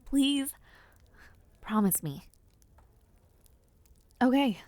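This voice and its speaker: A woman playing an affectionate young noblewoman in an intimate audio roleplay, speaking softly and breathily to the listener with hesitant sighs and murmurs. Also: high-pitched, animated, drawn-out words, dry recording, heavily acted. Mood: flustered, anxious. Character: affectionate young noblewoman, playful but nervous before her debut.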